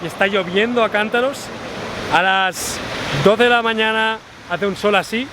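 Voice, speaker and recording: Chatty Spaniard vlogging on a busy street. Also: male voice, high-pitched, moderate pace. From Spain. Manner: chatty, pointing things out as he walks. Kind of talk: casual chat walking outdoors. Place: city street outdoors. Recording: street noise behind.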